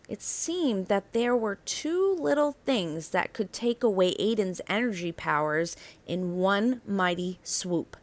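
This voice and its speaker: A woman narrates a picture book for children at a slow, measured pace. Her pitch sits in the middle range for a woman. She phrases expressively and leans deliberately on key words. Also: fairly bright tone. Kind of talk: expressive children's read-aloud storytelling.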